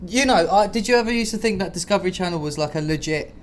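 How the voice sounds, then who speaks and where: A man's voice: sits high, fast, slight background noise. A man with a British accent hosting a casual, improvised podcast, chatting in slangy, sweary language. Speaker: podcast host.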